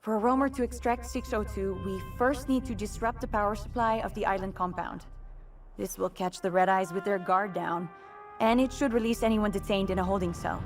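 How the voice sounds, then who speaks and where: Woman acting game resistance leader lady, calm n in charge, measured, bit of music behind. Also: medium pitch, lightly acted. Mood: calm, commanding. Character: composed resistance leader woman planning missions, calm and commanding. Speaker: mission commander. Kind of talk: video game cutscene acting.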